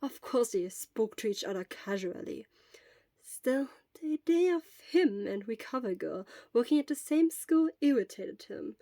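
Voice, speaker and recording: A woman's voice: fairly high pitch, lively intonation, measured pace, mellow, no echo. A woman narrating scripted fiction as the reader of an audio story.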